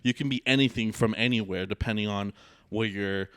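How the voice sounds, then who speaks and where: A man's voice: on the low side, fairly quick, fairly bright tone, smooth, no echo. A young man co-hosting a casual podcast with his sister, rambling through his points with lots of "like" and restarts. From Bosnia. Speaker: podcast co-host. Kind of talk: casual chat.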